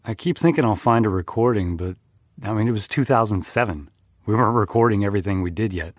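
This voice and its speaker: A man's voice: on the low side, fast, dry recording, clean studio sound, natural voice. A man playing a loyal, embittered friend of an accused man, talking casually and plainly as if interviewed. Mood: wistful. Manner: casual, sweary, plain-spoken. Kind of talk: casual interview in an audio drama.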